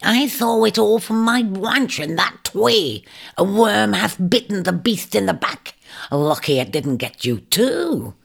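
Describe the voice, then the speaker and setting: A woman's voice: medium pitch, lively intonation, unhurried, gravelly. A woman voicing a crafty, urgent shrike bird with a hissing delivery and old-fashioned speech, in a storybook narration. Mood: sly urgency. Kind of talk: audiobook storytelling character voice.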